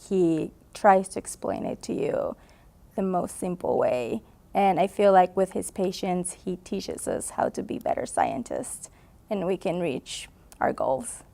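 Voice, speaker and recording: A woman's voice: mid-range voice, vocal fry, mellow. A woman in her twenties from Honduras, a graduate student answering interview questions in a conference booth, earnest with frequent laughs and fillers.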